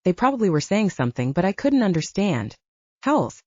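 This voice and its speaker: A woman's voice: medium pitch, sing-song, normal speed, a little mellow, no room sound, muffled recording. A woman reading a scripted audiobook story as its narrator.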